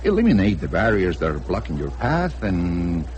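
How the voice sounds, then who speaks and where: A suave Mexican gentleman's aide, very low in pitch and richly varied in intonation. He is formal, precise and courteous, as in an old radio play with clearly audible noise. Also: huge pitch swings, moderate pace. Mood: smooth, persuasive. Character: suave, measured Mexican personal assistant to a tycoon. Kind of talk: radio drama acting. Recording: noisy old radio drama recording.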